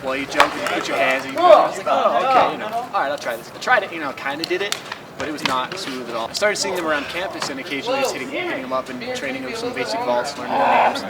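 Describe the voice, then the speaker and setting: A twenty-year-old man telling parkour stories in an animated way, acting out quotes in an outdoor interview. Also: racing, gravelly, a loud crowd. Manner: acts out quotes, swooping pitch. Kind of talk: animated storytelling. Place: outdoors on a campus. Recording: distant mic, busy outdoor noise.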